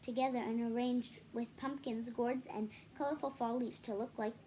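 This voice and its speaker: A woman's voice: fairly high pitch, steady tone, moderate pace, mellow, slight background noise. A woman reading a children's picture book aloud, adding casual explanatory asides for young listeners. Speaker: read-aloud storyteller for children.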